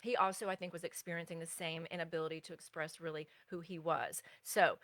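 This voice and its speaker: A woman's voice: low-pitched, fairly even intonation, fairly quick, fairly bright tone, dry recording. A middle-aged woman teaching as a spiritual relationship coach in a live stream, earnest and meandering, breaking off to answer viewer comments.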